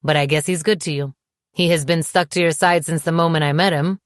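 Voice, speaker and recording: A woman voicing a calm, confident, patronizing man in an audiobook, smooth and condescending. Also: low voice, brisk, no echo, clean studio sound, natural voice. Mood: smug, calm.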